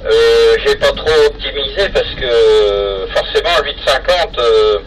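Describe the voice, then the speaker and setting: A middle-aged man with an accent from France, chatting casually and haltingly over a two-way radio. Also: mid-range voice, wildly swooping pitch. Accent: French, from France. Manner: hesitant, halting. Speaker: amateur radio hobbyist. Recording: distant, very noisy FM radio contact.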